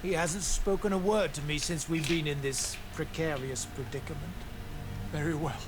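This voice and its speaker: A man's voice: on the high side, fairly even intonation, normal speed, lightly acted. An actor playing a resentful, grieving middle-aged father in a video game, speaking formally and bitterly. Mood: bitter resignation. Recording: clear background noise from game ambience.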